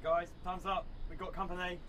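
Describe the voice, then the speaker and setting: A man's voice: high voice, little pitch movement, a little fast, a bit bright, a little hiss or hum. A man playing an urgent, commanding survivor of an apocalypse in a radio drama.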